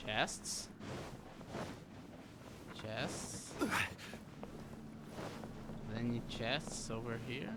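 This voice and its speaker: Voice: medium pitch, extremely slow, long pauses, very noisy. A game player giving casual commentary while playing through a story quest. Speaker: player doing a game playthrough. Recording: game music behind.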